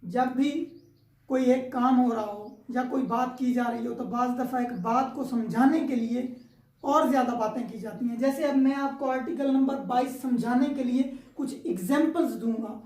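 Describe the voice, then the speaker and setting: Pakistani lady teaching law, high soft-edged mellow voice, keeps repeating points, kinda echoey room. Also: fairly even intonation, moderate pace. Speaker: law lecturer. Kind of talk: informal explanatory lecture with worked examples. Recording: echoey, roomy sound.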